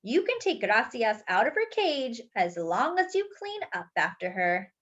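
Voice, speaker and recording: A woman's voice: on the high side, sing-song, a little slow, fairly bright tone, dull audio, exaggerated voice. Woman voicing a practical, no-nonsense storybook grandmother.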